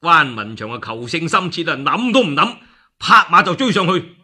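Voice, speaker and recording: A man's voice: a little high, very animated, normal speed, sharp tone. A man with a Cantonese accent, a radio storyteller narrating a classical war tale theatrically, with exclamations and spoken sound effects.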